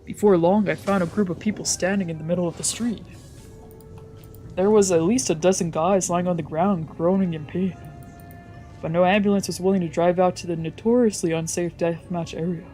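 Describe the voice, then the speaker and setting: A streamer narrates a visual novel in the first person. The reading is dramatic and brooding, at a middle pitch, with game music underneath. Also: fairly even intonation, a little fast, dark tone. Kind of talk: dramatic narration with character voices.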